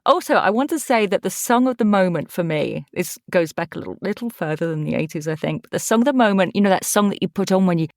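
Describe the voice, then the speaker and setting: A woman's voice: on the low side, animated, quick pace, few pauses, no echo, clean studio sound. A middle-aged woman with an English accent, upbeat and reflective as a guest in a casual interview. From East Anglia, England. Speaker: podcast guest, voice actor.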